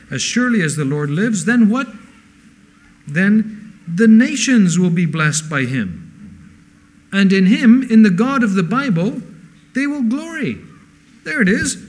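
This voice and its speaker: A man born in Spain with a mixed international accent, preaching to a congregation with frequent rhetorical questions. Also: a little high. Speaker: pastor. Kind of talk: sermon. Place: church service.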